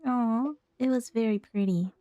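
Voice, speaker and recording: A woman's voice: fairly high pitch, a little slow, mellow, dry recording, highs cut off. A woman streamer giving casual reactions while playing a game.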